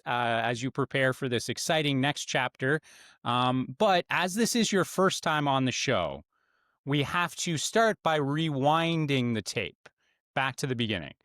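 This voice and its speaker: A man's voice: a little high, a bit bright, no echo, studio quality. A man hosting a sports podcast, asking long, rambling questions with fillers. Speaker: podcast host. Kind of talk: interview questions.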